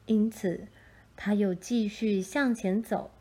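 A woman narrates a children's storybook at a very unhurried pace. Her tone is soft and mellow, with a middle pitch. She reads in a gentle, expressive storytelling way.

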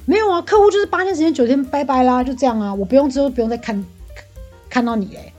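Bright-toned no, mellow fast-talking Taiwanese woman. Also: sits high, faint music behind. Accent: Taiwanese Mandarin. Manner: talkative, animated, exclamatory. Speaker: podcast co-host. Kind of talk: casual venting chat.

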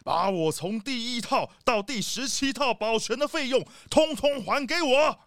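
A man's voice: extremely high pitch, lively intonation, moderate pace, a bit bright, full character voice. A man playing a bossy, irascible animal tycoon villain in a children's radio drama, scolding and indignant. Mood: angry scolding.

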